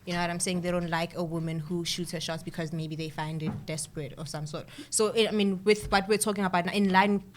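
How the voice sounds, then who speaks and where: Young woman, from South Africa, panelist, measured and questioning, lowish voice, faint noise. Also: steady tone. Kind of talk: casual panel chat.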